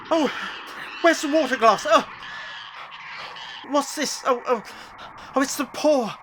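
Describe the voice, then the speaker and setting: Radio play father, male actor: older, excitable, exclamatory, slow pace, very animated intonation. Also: extremely high pitch, noisy background, exaggerated voice. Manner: theatrical, halting, exclamatory. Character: anxious, excitable older father of a grown daughter. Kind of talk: amateur radio play horror acting.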